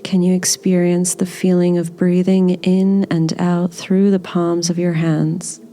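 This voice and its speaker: A woman leading a guided body scan meditation in a gentle, soothing, unhurried manner. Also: lowish voice, flat delivery, a little hiss or hum.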